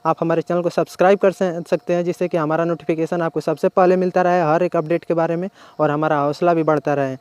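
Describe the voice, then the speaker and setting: An Indian man hosts an informal tech tutorial in a high, smooth voice. He explains step by step, often restating an instruction in simpler words. The recording is close and dry, with very little room sound. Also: flat delivery, fast, few pauses, darkish tone, no echo. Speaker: tech channel host. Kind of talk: informal phone tutorial narration.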